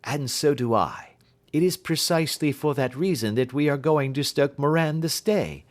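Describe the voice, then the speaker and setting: A man's voice: a little high, normal speed, pitched above the performer's own voice, natural voice. A man voicing a cool, keen detective in an audiobook, reasoning precisely and staying blandly unflappable. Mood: decisive. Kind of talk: audiobook character acting.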